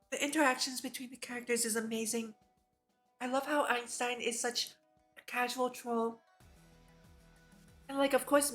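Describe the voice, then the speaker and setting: A woman with a fairly high voice reads a visual novel on a livestream. She shifts between narrating the text and chatting about it like an eager fan. Faint music plays behind her. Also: a bit bright. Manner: enthusiastic, giggly fan reactions between read passages. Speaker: VTuber streamer. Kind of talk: reading a visual novel aloud with casual live commentary.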